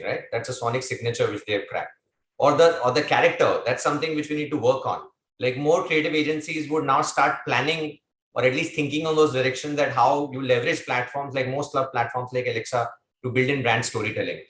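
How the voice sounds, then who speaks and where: A man's voice: medium pitch, fairly bright tone. A man moderating a webinar panel, talking enthusiastically and conversationally. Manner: enthusiastic, effusive praise, conversational. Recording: echoey room sound.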